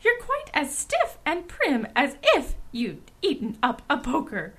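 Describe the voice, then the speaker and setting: A woman's voice: high-pitched, huge pitch swings, a little slow, a bit bright, heavily acted. Woman putting on a saucy, sing-song old china clown. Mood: saucy, teasing. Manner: cheeky, sing-song rhyming, drawn out. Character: saucy old jolly male china clown, foolish rhymer.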